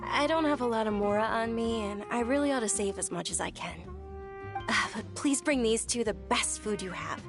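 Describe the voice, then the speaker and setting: Game role: young highborn lady, female actor, gentle, courteous, frail, slightly creaky voice, music behind. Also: normal speed, lightly acted. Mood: polite. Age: young adult. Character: gentle, polite, frail young noblewoman, earnest. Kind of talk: video game voice acting.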